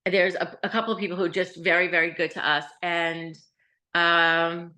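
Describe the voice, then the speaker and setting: Bright-voiced, earnest woman. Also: fairly low pitch, little pitch movement, moderate pace. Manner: earnest, plain-spoken, frequent fillers and restarts. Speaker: nonprofit founder, interview guest. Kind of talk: conversational interview answers.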